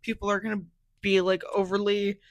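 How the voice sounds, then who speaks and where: A hoarse young woman hosting a podcast, chatting casually and rambling with lots of fillers. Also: mid-range voice, little pitch movement, fairly quick, dry recording. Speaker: podcast host. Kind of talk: casual chat.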